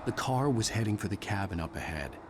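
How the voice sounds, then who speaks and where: A male actor playing a driven writer obsessed with battling dark forces, in video game dialogue and narration. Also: on the low side, expressive, fairly quick, background noise, lightly acted. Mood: subdued narration.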